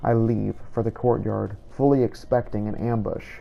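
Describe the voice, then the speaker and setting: A man narrating video game play in character, in a formal, measured storytelling manner. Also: fairly low pitch, steady tone, gravelly, lo-fi sound. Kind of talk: scripted first-person game narration. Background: game music.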